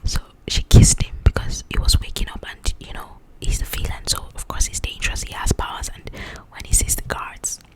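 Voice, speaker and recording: A woman speaking in a breathy, confiding whisper, chatting casually to the listener in an ASMR style. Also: moderate pace, hardly any pauses, poor audio. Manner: confiding, conversational, checks that the listener follows. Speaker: host of an ASMR book channel. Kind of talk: ASMR, whispered chat about book highlights.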